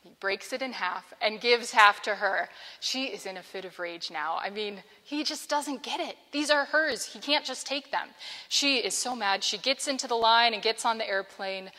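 A young woman vicar preaching a sermon in an earnest, measured way, on a lapel mic in a large church sanctuary. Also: a little high, bright, forceful tone. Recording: long church reverb.

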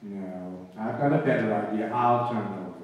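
A man playing an exasperated but soft-hearted father scolding his little daughter in old-time radio comedy, stern, flustered and stammering. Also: fairly low pitch, moderate pace, close to an everyday voice. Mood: soft, remorseful. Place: small auditorium stage, audience in dark. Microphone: far camera. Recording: echoey hall, distant camera, faint noise.

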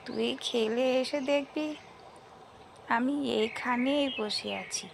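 A woman voicing a frail, wistful old village granny in a cartoon fairy tale, rambling gently. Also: fairly high pitch, slow pace, background noise. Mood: gentle, resigned.

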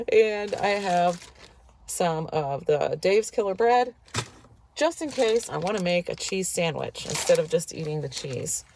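A woman in her fifties chatting casually to her camera from inside a car, rambling and laughing often, a little stuffy from a cold. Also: on the low side. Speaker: vlogger. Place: inside a parked and moving car. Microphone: phone camera on the dashboard. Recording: car noise audible behind voice.

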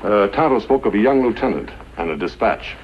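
An actor playing a composed, authoritative army major in a 1950s radio western, commanding and deliberate. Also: male voice, lowish voice, lively intonation, close to an everyday voice. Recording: muffled, hissy old radio recording.

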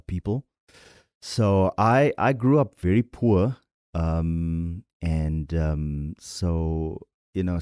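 A man with a South African accent, a podcast guest telling his story in a relaxed way with many fillers. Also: rumbling low, no echo, clean studio sound. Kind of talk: casual interview talk.

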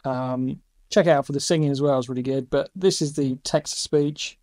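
A middle-aged man hosting a casual screen-recorded review, enthusiastic, with quick asides between demos. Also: mid-range voice, fairly even intonation, darkish tone, no echo, clean studio sound. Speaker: YouTube host reviewing AI voice tools.

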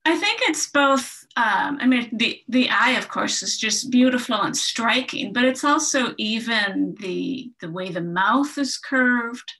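A woman with a bright, crisp voice shares her impressions in a group discussion on a video call. She speaks reflectively and thinks aloud. The sound comes through a computer microphone. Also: a little high, expressive, a little slow, hardly any pauses. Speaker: discussion participant. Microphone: computer mic on a video call.

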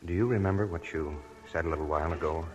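An actor playing a steady young army soldier, firm and earnest, in 1940s radio acting. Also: male voice, low-pitched, quick pace, muffled recording, natural voice. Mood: tender. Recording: old 1940s radio broadcast with music.

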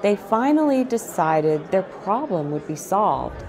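A middle-aged woman hosting a children's storytime, reading aloud in a deliberate way and speaking directly to young listeners. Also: mid-range voice, sing-song, a crowd in the background. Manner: deliberate phrasing, addressing child listeners with questions. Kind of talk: slow, animated picture-book read-aloud for young children. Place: small indoor set with bookshelves, seated in an armchair.

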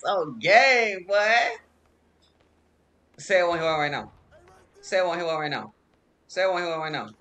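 Loud, lively young streamer trash-talking. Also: male voice, on the high side, a little slow, long pauses. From Texas. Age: young adult. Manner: loud, profane trash talk at the game. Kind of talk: live gameplay commentary. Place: indoor room at home. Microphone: headset.

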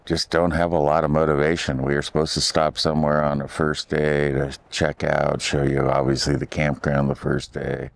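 An older man with a raspy voice narrating a hike outdoors for his travel vlog, low-key and rambling as he thinks aloud. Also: level tone, normal speed, few pauses. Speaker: travel vlogger. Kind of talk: casual hiking narration. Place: outdoors on a desert trail. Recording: music bed under the voice.

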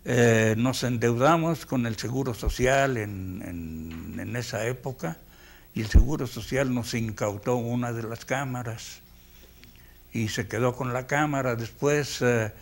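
A man in his eighties with a Mexican accent, a veteran animator recalling his career haltingly in an interview. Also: mid-range voice. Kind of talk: reminiscing interview. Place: indoor room, close interview shot.

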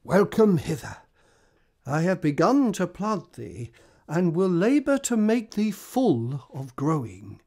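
A woman playing a gracious, emotional king in a Shakespearean radio drama, stately and effusive, with drawn-out phrasing. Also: on the low side, big pitch swings, mellow, exaggerated voice. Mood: warm gratitude. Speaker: actor in an amateur radio drama.

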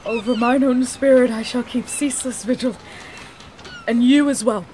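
A woman playing an earnest, high-minded young woman in a fantasy audio drama, speaking formally in measured, archaic phrases. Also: steady tone, a little mellow, a little hiss or hum, close to an everyday voice. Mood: solemn, earnest.

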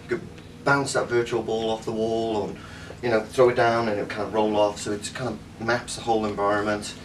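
A Cardiff Welsh man in his thirties talks through a device at home, enthusiastic and full of fillers and restarts. His voice sits on the lower side. The sound is picked up from across the room, with a faint hiss behind. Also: little pitch movement, fairly quick. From Wales. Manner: enthusiastic, rambling with fillers, gesturing. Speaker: tech reviewer. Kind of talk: casual product review. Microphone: camera mic from a few metres. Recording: distant camera mic, faint room noise.

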